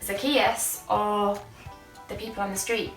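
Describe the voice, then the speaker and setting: A woman's voice: mid-range voice, little pitch movement, a little slow, a bit bright. A woman leading children's Sunday school, explaining simply and asking lots of questions. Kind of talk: interactive children's Bible teaching. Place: indoor church studio. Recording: echoey room, distant mic, faint music.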